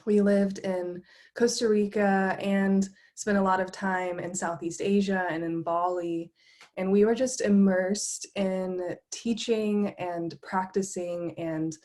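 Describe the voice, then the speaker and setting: A woman's voice: fairly low pitch, darkish tone. A woman from Florida, a meditation coach, presenting an online workshop in an upbeat, earnest way, often laughing between phrases.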